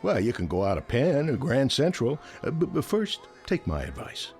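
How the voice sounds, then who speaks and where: An actor playing a chatty, coaxing big-city bartender in a radio drama, talking in a folksy, colloquial way. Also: male voice, medium pitch, wildly swooping pitch, moderate pace, a little mellow. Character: chatty, placating male city bartender, easily exasperated. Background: background music.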